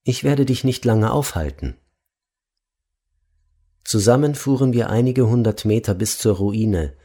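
A man reading a devotional book aloud in a calm, measured way. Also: medium pitch, slightly rough. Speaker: narrator.

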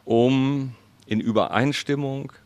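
A man interpreting an interview into German as a voice-over, speaking slowly and deliberately. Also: mid-range voice, fairly even intonation, darkish tone. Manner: slow, deliberate, careful phrasing.

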